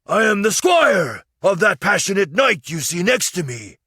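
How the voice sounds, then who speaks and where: A man reading a storybook, voicing an excitable, anxious male squire in a high, husky put-on voice with eager, shouted pleas. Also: slow, no room sound, studio quality, heavily acted. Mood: excited pleading.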